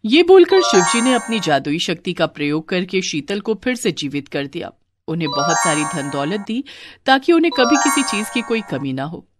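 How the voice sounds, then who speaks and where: Animated woman story narrator. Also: lowish voice, moderate pace, loud music behind the voice. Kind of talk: expressive scripted story narration.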